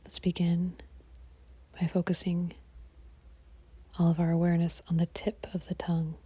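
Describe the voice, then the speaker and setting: A woman guiding a relaxation meditation in a soft, breathy, half-whispered, soothing delivery. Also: fairly low pitch, completely flat delivery, moderate pace. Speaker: yoga nidra meditation guide.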